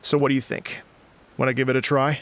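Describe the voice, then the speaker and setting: An actor playing a polite, earnest musician and event organizer in a video game, courteous and slightly hesitant. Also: male voice, medium pitch, flat delivery, quick pace, fairly bright tone, natural voice. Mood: welcoming. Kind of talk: video game cutscene acting.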